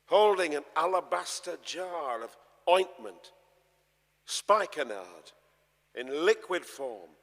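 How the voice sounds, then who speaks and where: A man gives a dramatic narration of scripture in measured, emphatic phrases. His voice is a bit higher than average, and it carries a long, reverberant tail from the large church where he reads. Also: fairly bright tone. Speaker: narrator, reading the part of the evangelist. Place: large church, live performance.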